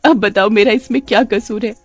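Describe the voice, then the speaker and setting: A woman's voice: mid-range voice, steady tone, fairly quick, faint music behind, pitched above the performer's own voice, lightly acted. A woman voicing a wronged young widow in a cartoon story, plaintive and protesting. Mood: tearful, pleading. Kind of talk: Hindi cartoon story voice acting.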